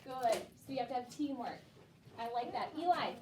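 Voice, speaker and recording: A woman's voice: on the high side, animated, moderate pace. A woman primary school teacher leading a classroom discussion with young children, encouraging them and repeating their answers back. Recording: echoey room, distant mic, clear background noise.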